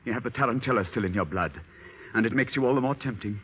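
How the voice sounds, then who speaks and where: An actor playing an adoring, condescending husband in theatrical 1930s radio style, on a muffled old recording. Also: male voice, medium pitch, quick pace, breathy edge, close to an everyday voice. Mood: ardent. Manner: patronizing, ardent, theatrical. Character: adoring, condescending middle-class husband in a period drama.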